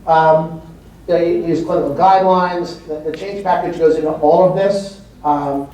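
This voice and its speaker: A man's voice: a little high, fairly even intonation, normal speed, mellow. A male physician giving a conference lecture to a large audience, emphatic and conversational, repeating words for stress. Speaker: physician presenter. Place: large hall before an audience. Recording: echoey hall from far off.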